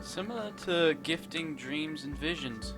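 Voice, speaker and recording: Very low-voiced woman gamer. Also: expressive, measured pace. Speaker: gamer playing through a story quest. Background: game music.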